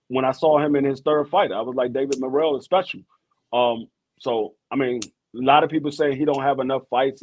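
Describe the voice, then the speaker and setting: A man's voice: mid-range voice, rough recording. A man from Washington, D.C. hosting a boxing podcast, talking casually with frequent restarts and repeated words. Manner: hesitant, repeats words, gets animated when arguing. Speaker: podcast host. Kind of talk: casual sports talk.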